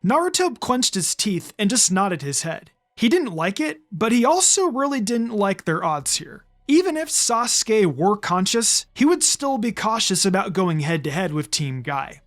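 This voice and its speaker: A narrator giving an expressive reading of a fan fiction story. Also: medium pitch, moderate pace, few pauses. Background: background music.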